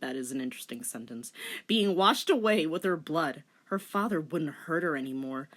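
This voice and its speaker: A woman's voice: deep voice, lively intonation, normal speed, fairly bright tone. A woman hosting a comedic dramatic reading as a voice-over, narrating theatrically and breaking into informal, incredulous commentary. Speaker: host, story reader. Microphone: recorded voice-over. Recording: music under the voice.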